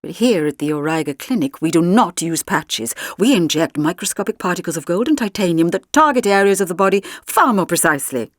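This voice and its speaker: A woman voicing an intense middle-aged male doctor who owns a clinic, deliberate, earnest and emphatic in an audiobook story. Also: on the low side, lively intonation, dry recording, studio quality. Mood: earnest, proud.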